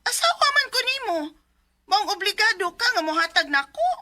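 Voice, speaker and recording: A bitter Cebuano wife. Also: female voice, very high voice, sing-song, fairly quick, very noisy. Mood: cold. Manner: sharp and confrontational. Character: estranged wife, bitter and demanding. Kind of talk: 1990s radio drama acting.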